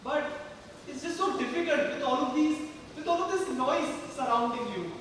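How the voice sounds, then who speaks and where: Man acting a high-voiced anguished parent, echoey hall. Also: moderate pace, a bit bright, a little hiss or hum. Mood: agitated. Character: anguished, weary parent at a child's hospital bedside. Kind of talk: amateur stage play acting. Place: large hall stage. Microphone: distant microphone. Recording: echoey hall from far off, music.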